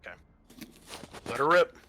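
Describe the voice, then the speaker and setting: A man's voice: moderate pace, sharp tone, a little hiss or hum. A man working as game master, casually narrating scenes and talking through rules with some swearing.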